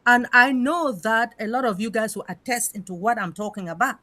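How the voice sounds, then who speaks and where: A woman's voice: fairly high pitch, a little fast, pushed and bright, dry recording. A middle-aged woman with an African accent, speaking emphatically in an informal discussion and putting rhetorical questions. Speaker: panel member in an open discussion.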